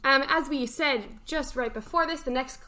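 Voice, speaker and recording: A woman's voice: high-pitched, normal speed, sharp tone. A young woman co-hosting a camp morning show, reading announcements and chatting lightly.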